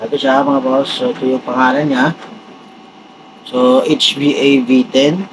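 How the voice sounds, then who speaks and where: A man with a Filipino accent giving a casual, halting unboxing review with a gravelly voice. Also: medium pitch. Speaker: vlogger doing a product unboxing and test. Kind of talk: casual unboxing review, talking while handling items. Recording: clearly noisy, steady background hum.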